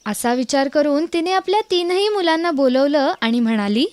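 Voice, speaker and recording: A woman's voice: high voice, animated, moderate pace, a little mellow. A woman narrating a scripted children's story as a storyteller. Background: background music.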